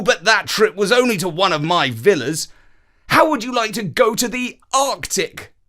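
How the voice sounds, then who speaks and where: A man voicing a loud, jolly, persuasive uncle in a children's story, with an eager delivery. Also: sits high, pitched above the performer's own voice, full character voice.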